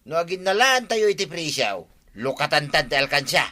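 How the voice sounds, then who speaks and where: An actor playing an excitable, insistent husband in a regional radio comedy drama, with music behind him. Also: male voice, a little high, moderate pace. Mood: insistent, excited. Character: excitable husband eager to buy a tricycle.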